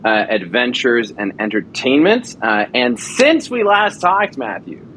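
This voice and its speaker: A man's voice: mid-range voice, sing-song, measured pace, fairly bright tone, slight background noise. A man in his thirties, an actor and producer, telling stories eagerly as an interview guest and using many fillers. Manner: enthusiastic storyteller with frequent fillers. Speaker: actor and audio drama producer. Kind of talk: casual interview chat.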